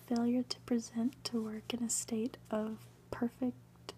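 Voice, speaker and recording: A woman's voice: on the high side, flat delivery, a little slow, dark tone, a little hiss or hum, natural voice. A woman voicing a stern, officious workplace rule-giver in a storybook, in clipped, formal phrases. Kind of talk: whispered storybook character reading.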